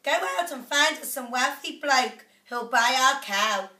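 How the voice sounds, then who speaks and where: A woman voicing a bossy middle-aged mother who scolds in loud outbursts. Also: high voice, expressive, unhurried, voice pushed up, heavily acted. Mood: scolding. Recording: echoey room, off-mic.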